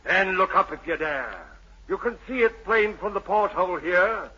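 A man's voice: high voice, animated, moderate pace, sharp tone, breathy edge, full character voice. An actor playing a mad, obsessed old sea captain and domineering father, in salty archaic seafarer's speech, in an old radio drama. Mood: fierce, insistent. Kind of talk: 1940s-50s radio drama acting. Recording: muffled old radio broadcast, faint noise.

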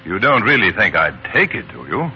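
A man's voice: lowish voice, sing-song, a little fast, a little hiss or hum. An actor playing an earnest, insistent, plain-spoken man in a 1940s radio play, with an emphatic theatrical delivery. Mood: puzzled, frank. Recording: dull lo-fi 1940s radio, faint music.

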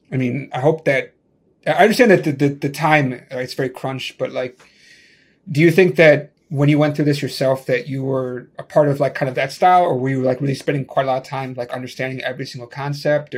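A man in a mid-range voice hosting a relaxed tech interview, speaking from a remote call setup. He talks in a loose, chatty way, circling his point with "like" and frequent restarts. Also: medium pitch, brisk. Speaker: podcast host.

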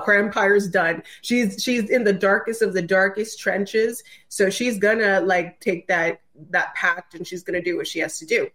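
A woman's voice: medium pitch, fairly bright tone. A woman speaking as an interview guest in casual chat, emphatic and colloquial, often checking that the listener follows. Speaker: podcast guest, writer.

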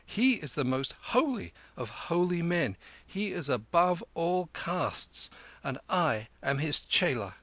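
Man voicing a cocky, high-pitched street boy. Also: very animated, a little slow, a bit bright, no room sound, heavily acted. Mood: severe. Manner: taunting, loftily self-assured. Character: cocky, lofty, quick-witted street boy disciple. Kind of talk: storybook character voice.

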